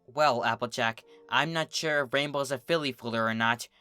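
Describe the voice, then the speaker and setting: A narrator voicing a bookish, reassuring young female pony with a gentle, earnest, articulate manner, in a cartoon fan story. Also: mid-range voice, moderate pace, clean tone, no room sound, faint music behind, natural voice.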